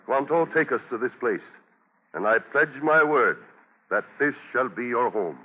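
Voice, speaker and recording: An actor playing a kindly, pious, fair-minded male colony leader, earnest and measured in 1940s radio style. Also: mid-range voice, animated, slightly rough. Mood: concerned, firm. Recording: tinny 1940 radio broadcast.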